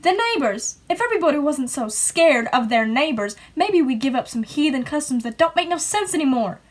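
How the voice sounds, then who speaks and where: A woman's voice: high voice, very animated, a little fast. A woman playing a bitter, folksy small-town sister who nags and accuses, in an amateur play acted over video call. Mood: scornful. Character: bitter, defiant small-town woman nagging her brother. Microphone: video call microphone.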